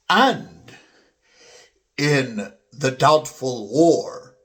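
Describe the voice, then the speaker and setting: A man giving a dramatic, declamatory recitation of classical epic poetry, with drawn-out pauses between phrases. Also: a little high. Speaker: poetry reader.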